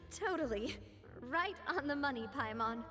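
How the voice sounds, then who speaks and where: A woman's voice: sits high, expressive, slow pace, bright, forceful tone, soft background music, full character voice. A woman playing a confident young gang boss in a video game, cheerful yet firm. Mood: playful, friendly. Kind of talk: animated video game voice acting.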